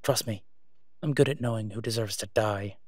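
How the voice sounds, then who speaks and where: A man's voice: fairly low pitch, normal speed, darkish tone, no echo, studio quality, close to an everyday voice. A man playing a sardonic, exasperated but protective vigilante antihero in an audio roleplay, with a weary, clipped manner. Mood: resigned, firm. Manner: sarcastic, weary, clipped, emphatic when irritated. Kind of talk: solo audio roleplay acting, conversational.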